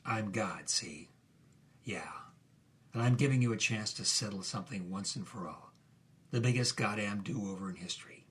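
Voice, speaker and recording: A man playing an intense, goading old-Hollywood film director, hushed and urgent, with tough noir-style delivery. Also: on the low side, normal speed, close to an everyday voice. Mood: quiet, commanding. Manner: hushed, urgent, conspiratorial whispering.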